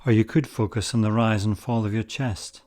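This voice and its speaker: A man with a fairly low voice leads a guided meditation. He gives spoken instructions in short, deliberate phrases, pausing between them in a measured way. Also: steady tone, a little mellow.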